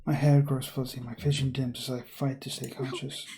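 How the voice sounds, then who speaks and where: A man's voice: mid-range voice, flat delivery, fairly quick. A man hosting a game video, reading visual novel text aloud dramatically with casual commentary and some stumbles, game music behind him. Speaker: gaming video host.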